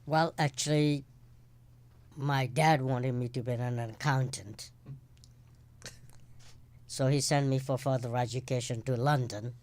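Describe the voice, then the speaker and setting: An older man from Kenya, a guest actor in a casual interview, telling stories with dry, deadpan jokes and short playful answers. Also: medium pitch, measured pace, long pauses.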